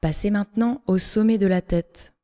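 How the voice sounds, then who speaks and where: A woman yoga instructor leading a guided relaxation meditation, giving measured instructions in short phrases with pauses between them. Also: medium pitch, dark tone.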